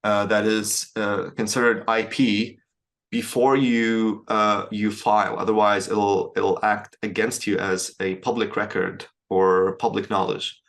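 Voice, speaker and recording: Man, lowish voice, measured, pauses and restarts, guest on an interview. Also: level tone, creaky, fairly bright tone. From Syria. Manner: measured, with frequent hesitations and restarts. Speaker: startup founder, guest. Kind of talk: casual interview answers.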